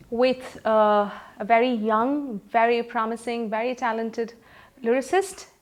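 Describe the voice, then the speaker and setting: A woman talks through her career as a film singer. Her voice sits a little high, and her telling is chatty and anecdotal. She stops now and then to search for names. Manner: chatty, anecdotal, many pauses to recall names. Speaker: film playback singer and lyricist. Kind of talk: interview, recounting career.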